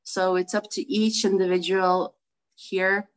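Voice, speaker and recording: A woman's voice: medium pitch, level tone, measured pace, low-quality recording. A woman from Brooklyn, co-hosting a video call meetup in a friendly, informal way. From Brooklyn, New York. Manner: friendly, informal, with fillers and restarts. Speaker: co-host.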